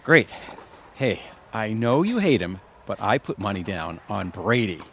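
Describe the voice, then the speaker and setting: A man's voice: on the low side, very animated, a little slow, music in the background. A man playing a grumbling, sardonic middle-aged husband in an audio theatre comedy. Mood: dry.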